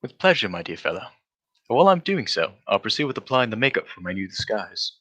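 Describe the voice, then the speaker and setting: An actor playing a brisk, self-assured gentleman detective in an old-style radio drama, with precise, formal diction. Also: lowish voice, big pitch swings. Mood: brisk, commanding. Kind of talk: 1940s radio drama acting.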